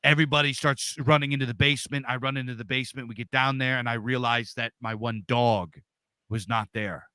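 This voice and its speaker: Loud guy, 40s, big voice, dry close sound, just chatting as a guest. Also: medium pitch, steady tone, brisk, clean tone, no echo. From Pennsylvania, USA. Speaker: interview guest. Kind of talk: casual storytelling.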